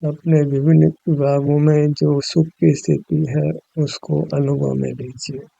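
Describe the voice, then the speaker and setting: A man leading a guided meditation as a spiritual teacher, in a measured, instructive way, on a dull, muffled recording. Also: a little high, flat delivery, creaky, dark tone, no echo.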